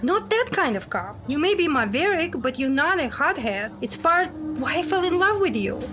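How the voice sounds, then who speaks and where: High-pitched, exasperated secret agent woman acting. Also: sing-song, moderate pace, a bit bright, soft background music. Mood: pleading. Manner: scolding, insistent, sweary. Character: exasperated, guarded female secret agent in hiding. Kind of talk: audio drama acting.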